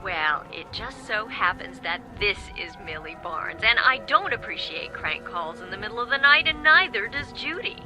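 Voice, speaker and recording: A woman's voice: mid-range voice, animated, normal speed, hardly any pauses, music in the background, close to an everyday voice, rough recording. An actress playing an irritated, icily dismissive young woman in a radio drama, clipped and sarcastic.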